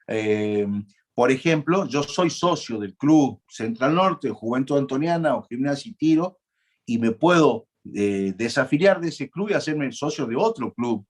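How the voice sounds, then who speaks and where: A man's voice: mid-range voice, animated, unhurried. Man lecturing, lots of fillers. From Argentina. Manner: conversational, asks the class questions, frequent "eh" fillers and restarts. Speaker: law lecturer. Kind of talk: university lecture.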